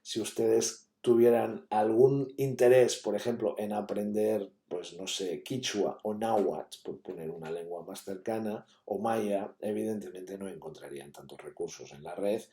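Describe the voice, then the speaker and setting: Low, mellow man lecturing. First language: Catalan. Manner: explanatory, with frequent fillers and tag questions. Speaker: university lecturer. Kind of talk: academic conference talk. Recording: echoey room.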